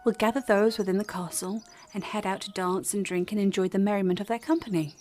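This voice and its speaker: A woman playing a gracious, gentle queen and mother in a fan audio drama, speaking in formal, regal phrases. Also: mid-range voice, steady tone, fast talker, lightly acted. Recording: music under the voice.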